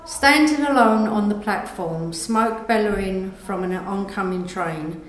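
A woman reading her own short story aloud as scripted narration. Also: mid-range voice. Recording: echoey room with background noise.

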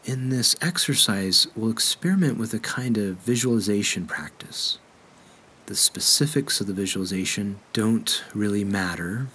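A man leading a guided meditation in a gentle, unhurried way with measured pauses. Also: on the low side, lively intonation, husky.

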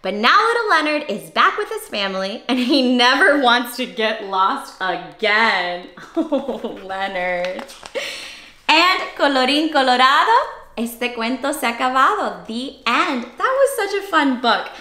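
A young adult woman reading a picture book aloud to young children in an animated, exclamatory, questioning way. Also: a little high, measured pace. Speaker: children's read-aloud host. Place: small cozy indoor room. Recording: roomy indoor echo.

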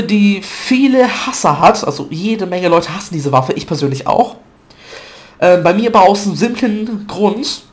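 Chatty guy with a stuffy cold. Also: sits high. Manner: chatty, loose, with filler words and self-corrections. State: stuffy nose, has a cold. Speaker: gaming video commentator. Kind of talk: casual gameplay commentary.

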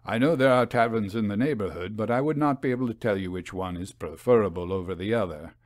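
Man reading as a courteous apologetic gentleman, low-pitched, formal, hesitant, ghost story. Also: fast talker, no room sound, studio quality, close to an everyday voice. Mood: polite, uneasy. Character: polite, apologetic male theater caretaker, soft-spoken and teetotal.

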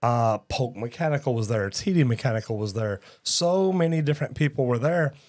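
A man's voice: medium pitch, animated, fairly quick, darkish tone. A man, hosting a casual live talk show in a studio, folksy and upbeat, often calling people "man". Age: 60s. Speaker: podcast host. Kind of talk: casual live-stream chat. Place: studio set. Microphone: broadcast mic on boom arm.

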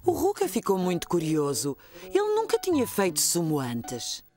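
A woman's voice: fairly low pitch, huge pitch swings, normal speed, darkish tone, faint music behind. A woman narrating a children's story expressively, with a European Portuguese accent.

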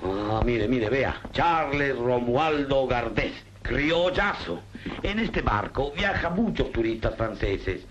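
An actor playing a fussy, excitable French impresario with a French accent, in a 1960s film comedy. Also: male voice, medium pitch, slow, highs cut off, noisy background. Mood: coaxing. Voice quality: excitable, theatrical.

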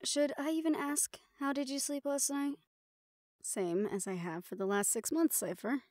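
A woman's voice: sits high, normal speed, a little mellow, no echo, clean studio sound. A woman performing an audio roleplay, talking in casual, profane, wry banter.

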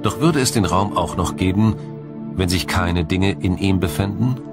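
A man narrating a scripted science documentary in a measured, formal, explanatory manner. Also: low-pitched, over loud music.

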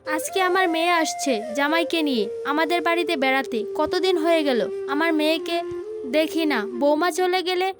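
A woman's voice: very high voice, fairly even intonation, a little fast, over music. A woman voicing a stern, bossy older village mother-in-law in a cartoon family drama, with an insistent manner. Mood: stern, indignant. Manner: commanding, insistent.

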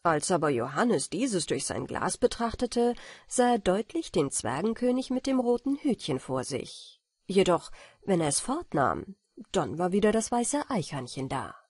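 A woman narrates a bedtime fairy tale for an audiobook. Her husky voice moves expressively up and down, and it is recorded very dry and close. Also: lowish voice, big pitch swings, a little slow, a little mellow, dry recording.

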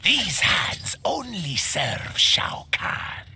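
Sly video game monster, super gravelly hiss, swoopy delivery, greedy tax collector type. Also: male voice, high-pitched, very animated, very slow, pushed and bright, exaggerated voice. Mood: defiant. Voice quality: gravelly, hissing. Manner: sly, formal, theatrical. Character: greedy, menacing otherworldly tribute collector, servile to his emperor. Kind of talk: video game fight banter acting.

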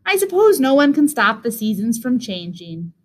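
A woman voicing a grumpy, fretful male rabbit in a storybook, complaining with drawn-out phrases. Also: a little high, very animated. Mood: resigned. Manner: complaining, drawn-out lead-ins.

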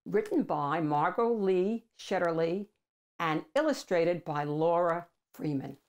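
An older woman reads a picture book aloud as a host for children, with deliberate, emphatic phrasing. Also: lowish voice, animated, a little mellow. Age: older, 60s or above. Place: small room at home with bookshelves.

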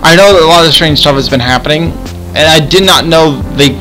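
A man's voice: on the high side, brisk, bright, forceful tone, soft background music, rough recording. A man improvising casual game roleplay, hesitantly and often repeating his phrases. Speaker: player in a Minecraft roleplay series.

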